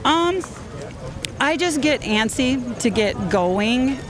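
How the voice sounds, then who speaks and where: A woman in her fifties, a roundup rider, telling stories in a lively way and laughing easily during a casual outdoor interview. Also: high voice, slow pace, a crowd in the background. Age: 50s. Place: outdoors at a busy event. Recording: noisy outdoor field recording.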